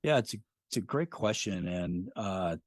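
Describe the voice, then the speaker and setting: Man, middle-aged, very low voice, dry sound, casual interview guest, rambling, many fillers. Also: lively intonation, normal speed, no echo. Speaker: podcast creator, guest.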